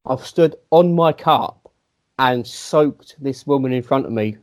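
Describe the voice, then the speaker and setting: Male co-host, mid-pitched, rambling self-mocking storyteller. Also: a little slow. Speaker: podcast co-host. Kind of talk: casual chat, anecdote telling.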